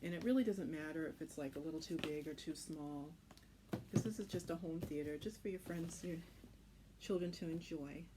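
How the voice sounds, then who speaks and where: Craft teacher lady, kinda low voice, casual n reassuring tutorial, noisy home recording. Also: normal speed. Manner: informal, reassuring. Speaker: children's craft instructor.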